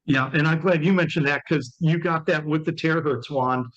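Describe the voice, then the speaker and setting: A man in his fifties speaks in a high register over a dull, muffled call. He talks conversationally as an interviewer promoting wellness, emphatically driving points home by repeating them. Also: steady tone, moderate pace, fairly bright tone. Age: 50s. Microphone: remote call.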